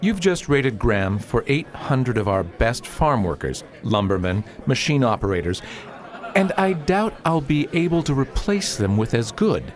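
A man playing a principled young nobleman in a radio drama, speaking formally and earnestly in a measured, argumentative manner. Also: mid-range voice, sing-song, a faint crowd. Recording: clearly noisy outdoor ambience.

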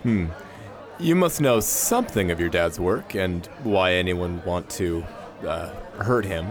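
Deep-voiced scheming henchman played by a man. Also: lively intonation, unhurried, soft background music. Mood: sly. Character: scheming, sinister male henchman of a senator. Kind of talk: science fiction radio drama acting. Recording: busy crowd and city noise behind.

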